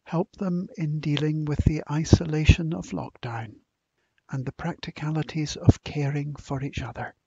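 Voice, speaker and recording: Male prayer leader, solemn and unhurried, husky edge, slightly muffled dry recording. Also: on the high side, fairly even intonation, warm tone, no echo. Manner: solemn, measured phrasing. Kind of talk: scripted spoken prayer.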